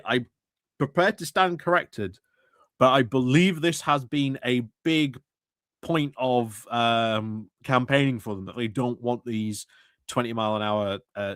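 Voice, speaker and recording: A man's voice: medium pitch, fairly bright tone, dry recording. Male streamer, casual political commentary, hesitant and conversational, dry close sound.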